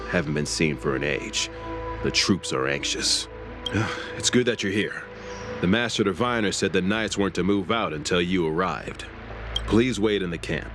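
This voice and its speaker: Actor as a very deep-voiced, earnest soldier. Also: male voice, moderate pace, close to an everyday voice. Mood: earnest, worried. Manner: earnest, formal military address. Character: wary, anxious adult male soldier guard of a fantasy army. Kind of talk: scripted game dialogue. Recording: music behind the voice.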